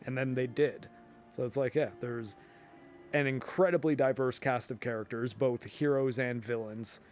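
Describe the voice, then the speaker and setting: A man hosting a video, giving casual, rambling film and TV commentary in an informal, emphatic way, often halting mid-thought. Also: medium pitch, darkish tone. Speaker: video host.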